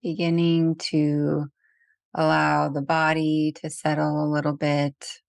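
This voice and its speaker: A woman leading a guided meditation as a teacher, speaking gently and unhurriedly with long pauses. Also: sits low, completely flat delivery, vocal fry, smooth, no echo, clean studio sound.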